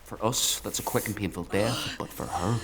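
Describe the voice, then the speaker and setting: An actor playing a sadistic, fanatical villain in a dark fantasy audio drama, with taunting, declamatory delivery and a gravelly voice. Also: male voice, fairly low pitch, normal speed, a crowd in the background, close to an everyday voice. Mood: quiet gloating. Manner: taunting, declamatory, swings from hushed to screaming. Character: sadistic zealous male villain, menacing, theatrical, fanatical.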